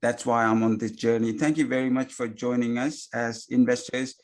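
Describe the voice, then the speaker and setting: A man's voice: mid-range voice, little pitch movement, moderate pace, darkish tone. A man, a company founder, speaking earnestly to investors over a video call. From came as a refugee. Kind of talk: investor webinar remarks.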